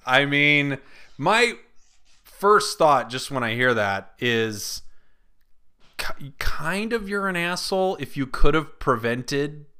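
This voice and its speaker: A man's voice: fairly high pitch, animated, takes it slowly, pushed and bright, smooth. A young man hosting a comedy podcast, joking playfully and reading a post aloud. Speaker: podcast host. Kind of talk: casual comedic podcast chat.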